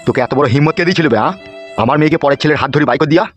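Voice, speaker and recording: Man playing a rapid-fire bullying village boss. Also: on the high side, lightly acted. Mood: furious. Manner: threatening, foul-mouthed, domineering. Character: bullying village politician, angry middle-aged father. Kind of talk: amateur comedy cartoon dialogue. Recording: music behind the voice.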